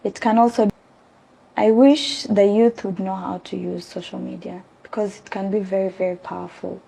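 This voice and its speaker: A young woman with a gravelly edge and a mellow, dark tone answers an interviewer. She is from South Sudan and speaks earnestly, often pausing with ums as she searches for words. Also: medium pitch, fairly even intonation, low-quality recording. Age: young adult. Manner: earnest, hesitant with many ums. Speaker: interview guest. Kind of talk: conversational interview.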